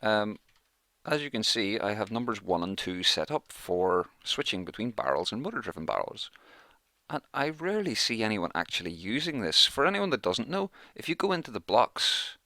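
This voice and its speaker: A man records a casual let's play, thinking aloud as he goes. His voice is fairly low and bright, with a crisp forward edge. The sound is close and dry, with little room in it. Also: no room sound. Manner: chatty, thinks aloud while playing. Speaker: gaming YouTuber, let's play host.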